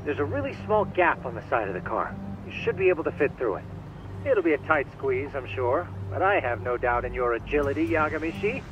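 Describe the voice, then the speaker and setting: A man's voice: fairly high pitch, big pitch swings, a little fast, background noise. A man playing a polite, eager and confident tech expert with formal, precise speech in a video game dub. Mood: helpful, assured. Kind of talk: dramatic video game voice acting. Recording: dull band-limited sound, faint music.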